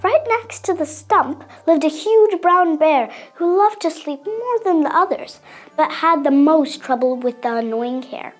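A little girl with a high voice reads a children's story aloud. Her delivery is expressive and dramatic, with faint music behind her. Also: measured pace. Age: young child. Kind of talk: scripted children's story narration.

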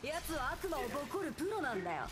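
A woman's voice: high-pitched, big pitch swings, racing, heavy background noise. A woman playing a breezy, boastful female weapons crafter in a video game cutscene. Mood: breezy, proud.

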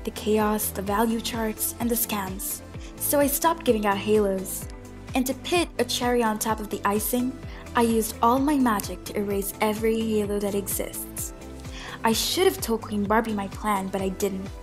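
A woman's voice: mid-range voice, steady tone, normal speed, music in the background, close to an everyday voice. A woman voicing an earnest, grieving fountain goddess who speaks in dramatic, pleading speeches in an amateur fan film. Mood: earnest, resolute.

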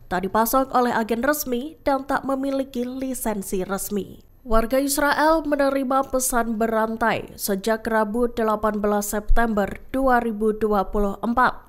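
High-voiced woman reading news. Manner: formal, measured reading. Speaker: news presenter. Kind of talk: scripted news narration.

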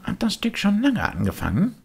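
A man's voice: high-pitched, wildly swooping pitch, moderate pace, creaky, slightly rough, voice pushed up, heavily acted. A man voicing a naive, eager little wooden puppet boy who chatters impulsively in a children's storybook. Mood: curious, pleading.

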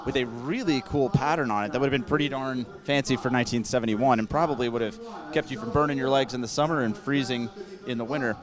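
A man's voice: medium pitch, fast, few pauses. A man giving casual, conversational live commentary on a car auction, explaining things as a broadcast host. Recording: crowd murmur behind commentary. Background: auction crowd.

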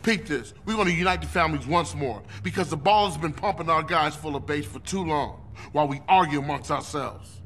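A man's voice: on the high side, a little fast, fairly bright tone, slight background noise. A man playing a fiery street gang leader in a video game cutscene, rallying his crew in commanding, slangy talk. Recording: music playing behind.

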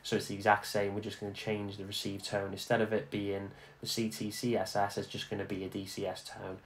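A man giving a casual, informal software tutorial, instructing step by step and repeating himself as he goes. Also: on the low side, monotone, brisk. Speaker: tutorial host. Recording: clear background noise.

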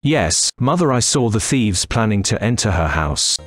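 A man's voice: fairly low pitch, sing-song, normal speed. A man playing male characters in a children's cartoon folk tale, speaking scripted lines emphatically. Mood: plain, earnest.

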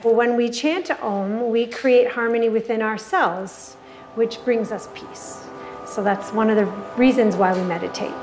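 Woman yoga instructor, forties, soothing and calm guidance, music underneath. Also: a little high, unhurried. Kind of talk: guided yoga and meditation instruction. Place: yoga studio indoors.

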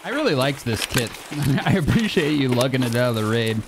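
A man's voice: mid-range voice, very animated, a little fast, background noise. A man in his thirties streaming a game from his home setup, joking and laughing with a friend. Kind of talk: casual gaming chat. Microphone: desk mic on a boom arm.

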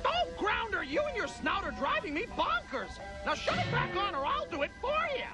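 A hot-tempered robot rooster villain from a cartoon. The voice is piercingly high and swoops about, bossy and scolding, with loud music behind. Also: male voice, sing-song, moderate pace. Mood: irritated. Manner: shrill, scolding, insulting.

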